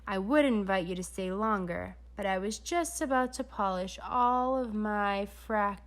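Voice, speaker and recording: A woman's voice: medium pitch, measured pace, natural voice. A woman voicing a sly male doctor villain in a children's storybook, purring with false politeness. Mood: evasive. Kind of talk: children's storybook read-aloud.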